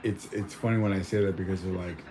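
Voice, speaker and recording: A man's voice: sits low, steady tone, fast, dark tone, a little hiss or hum. A young man born in Mexico chatting casually at home, rambling with lots of fillers and restarts. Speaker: vlogger. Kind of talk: casual chat.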